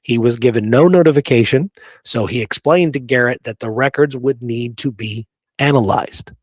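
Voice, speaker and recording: A man with a mid-pitched voice talks history as a show's co-host. He is emphatic and conversational, breaking his delivery with halting asides. The sound is dry and close, with little room in it. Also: slightly rough, no echo. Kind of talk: conversational history discussion with read-aloud documents.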